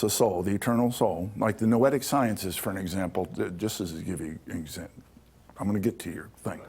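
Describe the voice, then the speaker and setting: A man's voice: lowish voice, darkish tone, husky. A man giving an informal public lecture to an audience in a hall, talking conversationally and checking in with "okay?" as he goes. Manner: conversational, adds checks like "okay?" to his points. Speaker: lecturer on ancient Egyptian spirituality.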